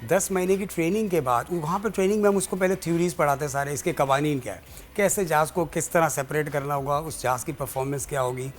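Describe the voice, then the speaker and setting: A man with a Pakistani accent answering as an interview guest, explaining in a halting, deliberate way and switching between Urdu and English. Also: fairly high pitch, soft background music. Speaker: guest, president of an air traffic controllers' guild.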